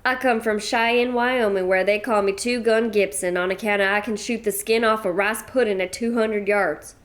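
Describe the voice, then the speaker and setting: An actress playing a brash, folksy American widow from the West with a Western American accent, talking loud and blunt. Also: female voice, medium pitch, fairly even intonation, moderate pace, natural voice. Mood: hearty. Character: brash American widow, bossy ranch-style lady. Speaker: Mrs. Gibson. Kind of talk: old-time radio drama acting.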